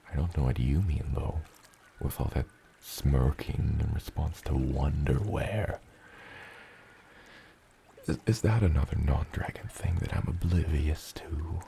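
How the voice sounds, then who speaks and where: A man voicing a gentle, doting father dragon in an ASMR roleplay, murmuring slowly and tenderly and often dropping to a whisper. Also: a little hiss or hum, full character voice. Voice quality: very deep, gravelly. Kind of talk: ASMR roleplay, addressing the listener as his child.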